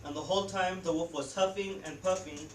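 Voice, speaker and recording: A woman's voice: on the low side, fairly even intonation, a little fast. A teenage girl narrates in a theatrical school-stage style. Her voice reaches the mic from far off in an echoey room with faint noise. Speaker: student narrator.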